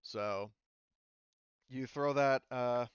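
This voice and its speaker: A man's voice: medium pitch, very slow, clear, resonant tone, dry recording, lo-fi sound. A man running a tabletop game as game master, talking loosely with many fillers over a voice call.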